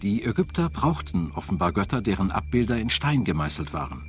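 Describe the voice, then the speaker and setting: A man reads scripted documentary narration in a measured, articulate, storytelling way. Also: sits low, very animated. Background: background music.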